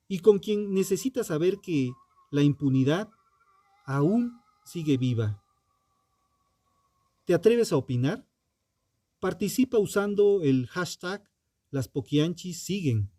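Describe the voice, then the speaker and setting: A man narrating a scripted true crime podcast in a dramatic, solemn and deliberate manner, with ominous rhetorical questions. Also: sits high, animated, darkish tone, dry recording.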